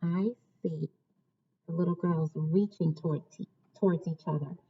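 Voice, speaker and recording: A woman reading a storybook aloud to children like a teacher, pausing to ask listeners questions. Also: on the low side, steady tone, husky. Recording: dull, lo-fi sound.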